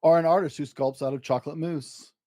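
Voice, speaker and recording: A man's voice: on the high side, fairly quick, dry recording, clean studio sound, lightly acted. A man voicing an exuberant, boastful eight-year-old schoolboy in a theatrical, rhyming picture-book story. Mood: insistent, excited. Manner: excitable, grandiose, theatrical.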